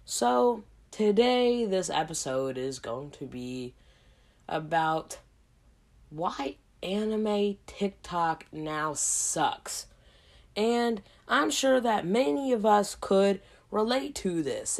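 A woman hosting a casual solo podcast, hoarse from a cold, rambling in a slangy way with lots of asides. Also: mid-range voice, sing-song, takes it slowly. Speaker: podcast host.

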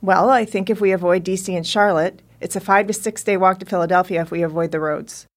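A woman's voice: lowish voice, steady tone, fast talker, natural voice. A woman playing a tough, practical survivor in a post-apocalyptic audio drama. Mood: focused.

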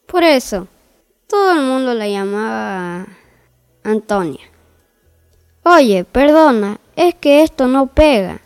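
A woman's voice: a little high, big pitch swings, takes it slowly, long pauses, darkish tone, clean tone, exaggerated voice. A woman playing a mild, easily flustered fairy-tale narrator in a comic audio story, hesitant and accommodating.

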